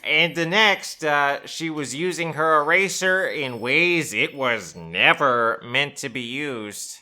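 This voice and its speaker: A man's voice: a little high, expressive, slow, bright, forceful tone, heavily acted. A man playing an anxious, indignant, rule-abiding office aide, earnest and hesitant, in a comic sci-fi audio drama. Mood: flustered, earnest.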